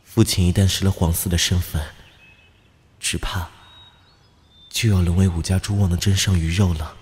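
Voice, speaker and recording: 男声饰演的低沉稳重的年轻兄长. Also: male voice, deep voice, fairly even intonation, moderate pace, darkish tone, close to an everyday voice. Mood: grave. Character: sober, cautious young princely elder brother. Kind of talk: Chinese historical audio drama acting.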